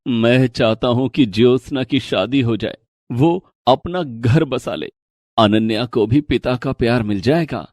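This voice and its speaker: A man voicing a kindly, earnest, gently authoritative older father-in-law in a cartoon story. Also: mid-range voice, measured pace, no echo, clean studio sound, lightly acted. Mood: thoughtful. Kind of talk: animated moral story dialogue.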